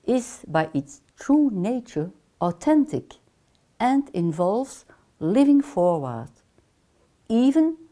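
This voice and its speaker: An older woman with a Dutch accent delivers a course lecture in an unhurried, deliberate way. Her voice is mellow and somewhat low, and her pitch rises and falls in a lively manner. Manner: measured, deliberate, gestures with her hands. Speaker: counseling lecturer. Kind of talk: scripted online course lecture. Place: small lamp-lit room, seated in armchair.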